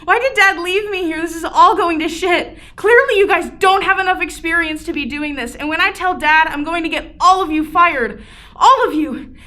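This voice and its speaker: A woman performs an immature, cocky young guy for an audio drama. The voice is high and sharp, with a whiny, flippant edge. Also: normal speed. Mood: frantic, outraged. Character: bratty, cocky overgrown child of a young man. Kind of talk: radio play acting.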